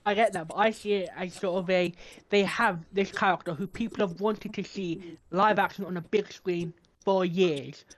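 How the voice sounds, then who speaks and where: A man co-hosting a casual podcast chat, measured and critical, often speaking without contractions. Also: high-pitched, steady tone. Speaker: podcast co-host.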